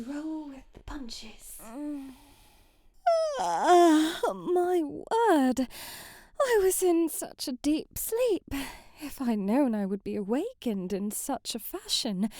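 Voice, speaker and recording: A woman's voice: high voice, darkish tone, dry recording, studio quality, full character voice. A woman playing a sheltered, romantic young princess in an ASMR audio drama, with flowery, theatrical storybook phrasing. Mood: flustered, then coy, theatrical. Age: young adult. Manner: theatrical, flowery, prim storybook phrasing, sighing, often half-whispering.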